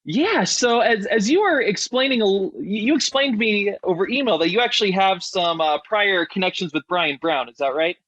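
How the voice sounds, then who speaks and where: A man's voice: high voice, animated, normal speed, hardly any pauses, a bit bright, no room sound, highs cut off. A man hosting a podcast in a casual, chatty and enthusiastic way. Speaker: podcast host.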